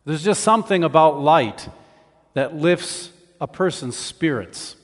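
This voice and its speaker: A man giving a conversational sermon as a preacher, in a measured, explanatory way. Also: on the high side, expressive. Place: large church hall. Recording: reverberant hall sound.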